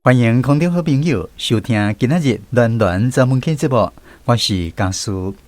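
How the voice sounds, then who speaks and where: A man's voice: medium pitch, expressive, moderate pace, a little mellow, dry recording. A man hosting and narrating a radio drama episode in a storytelling manner. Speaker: host and narrator.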